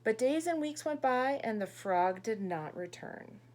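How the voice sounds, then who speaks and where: A woman teacher reading a picture book aloud expressively, pausing to ask young listeners questions and explain things. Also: fairly high pitch, animated, a little mellow.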